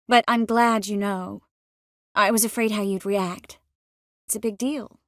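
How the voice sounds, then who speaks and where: An actress playing a determined, warm young sorceress in a fantasy video game, speaking earnestly and expressively with a gently teasing manner. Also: female voice, medium pitch, fairly even intonation, unhurried, no echo, studio quality. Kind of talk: video game dialogue acting.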